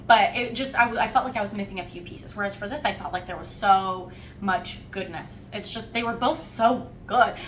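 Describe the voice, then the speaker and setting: Chatty woman in an echoey room. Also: medium pitch. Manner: animated storytelling with playful, wry emphasis. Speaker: host of a book discussion podcast. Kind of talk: casual, chatty book review. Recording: roomy echo, distant mic, faint noise.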